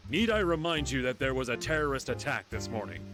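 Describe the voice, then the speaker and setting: A man playing a smug, commanding general in a visual novel, measured and condescending. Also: mid-range voice, big pitch swings, close to an everyday voice. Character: smug, commanding male general pulling rank. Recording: music behind the voice.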